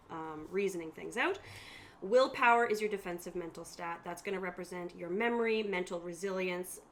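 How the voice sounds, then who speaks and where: A woman acting as game master, explaining tabletop game rules to players on a stream in a casual, chatty way. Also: medium pitch. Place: room at a group gaming table. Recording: noticeable room noise behind the voice.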